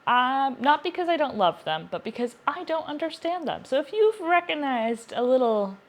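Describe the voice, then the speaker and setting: A woman's voice: high-pitched, big pitch swings, normal speed. A woman hosting a casual, chatty book review to camera, speaking earnestly and repeating words for emphasis. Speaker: book reviewer, video host.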